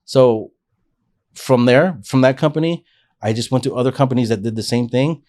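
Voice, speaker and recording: A man's voice: mid-range voice, moderate pace, a little mellow. A man in his forties, a podcast guest, telling his life story in an earnest, rambling way with frequent restarts. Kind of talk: casual life-story interview.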